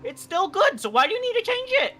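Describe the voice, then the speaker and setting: A woman's voice: very high-pitched, very animated, fast talker, over music. A woman bantering casually on a group game stream, stubborn, combative and foul-mouthed.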